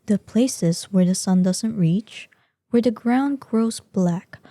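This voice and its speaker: A woman acts out an ancient dragoness for a fantasy audio drama, proud and imperious. The voice is very dark and soft-edged, recorded close and dry. Each formal, archaic phrase comes slowly and with measured weight. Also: medium pitch, no room sound, close to an everyday voice. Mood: curious, admonishing. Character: proud ancient female dragon in human form. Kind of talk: scripted roleplay audio drama monologue.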